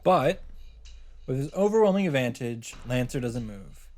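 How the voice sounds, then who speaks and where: A man's voice: medium pitch, big pitch swings, moderate pace, darkish tone. A man streaming a visual novel, reading the narration aloud and adding casual asides of his own.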